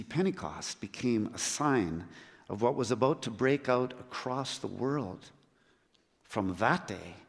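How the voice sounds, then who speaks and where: A man preaching a sermon as a missionary, earnest, with measured and emphatic pauses. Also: a little high, a bit bright. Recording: long hall reverb.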